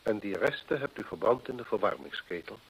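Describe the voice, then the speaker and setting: An actor playing a sharp, dryly superior male detective in a radio play, speaking formally and probingly with teasing dryness. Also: on the low side, very animated, a little fast, gravelly, husky, heavily acted. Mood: stern, incisive. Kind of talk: Dutch radio drama acting. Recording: muffled vintage radio play recording.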